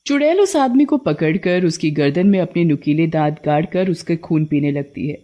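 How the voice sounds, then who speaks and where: A narrator telling a scripted horror tale for an animated story. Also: mid-range voice, normal speed.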